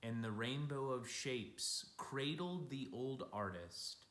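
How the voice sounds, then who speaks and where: A man giving an expressive read-aloud of a picture book for children, like a teacher speaking to young listeners. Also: mid-range voice, slow pace. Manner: addresses young listeners with simple asides and questions. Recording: roomy, echoing room sound.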